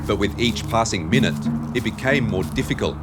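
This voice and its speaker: A man's voice: fairly low pitch, fairly quick. Male dramatic story narrator over music. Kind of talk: dramatic scripted fiction narration.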